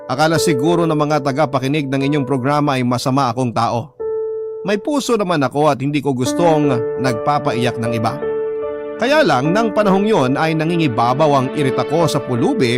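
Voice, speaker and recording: A male actor voices a remorseful Filipino shop owner telling his story on a radio drama. His somewhat high voice is measured and confessional. Loud music plays behind him throughout. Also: lightly acted. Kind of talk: first-person dramatised narration for radio programme.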